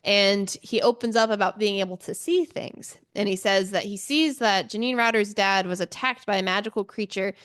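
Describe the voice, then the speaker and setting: A woman's voice: medium pitch, fairly even intonation, moderate pace, few pauses, fairly bright tone. A woman podcast host with a mid-range voice talks through a story in a casual book club style. She is chatty and informal, dropping asides as she goes, with a giggly streak in her delivery.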